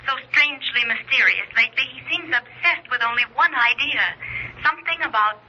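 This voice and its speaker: Worried fiancée, radio drama: very sharp, husky, muffled telephone sound. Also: female voice, high-pitched, brisk, full character voice. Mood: frightened. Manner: worried, urgent. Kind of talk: old-time radio drama acting.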